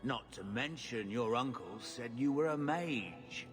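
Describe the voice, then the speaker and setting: An actor playing a tough, self-assured mercenary captain in a video game, talking gruffly and matter-of-factly. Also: male voice, medium pitch, expressive, close to an everyday voice. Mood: dry, bargaining. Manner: gruff, unhurried, matter-of-fact. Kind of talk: video game dialogue acting. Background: music.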